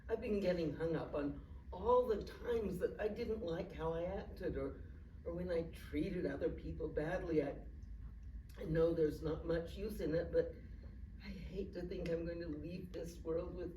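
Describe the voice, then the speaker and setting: An actress playing a frail, forgetful old wife in a staged play reading, anxious and tender, with halting speech. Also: female voice, lowish voice, mellow, close to an everyday voice. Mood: regretful. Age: older. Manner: hesitant, halting, stammering. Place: live staged reading in a room. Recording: echoey room, distant mic, faint noise.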